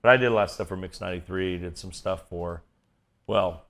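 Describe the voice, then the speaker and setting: A middle-aged American man with a booming baritone, chatting casually as an interview guest. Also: steady tone, fairly quick, a bit bright. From Kansas City area, United States. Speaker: guest, event MC and voiceover artist. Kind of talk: casual interview chat with stories.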